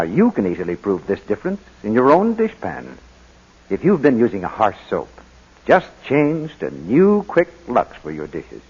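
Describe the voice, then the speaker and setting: Male 1940s radio announcer, American accent, very animated pitch, slightly husky, measured sales pitch, muffled vintage broadcast. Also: big-room sound.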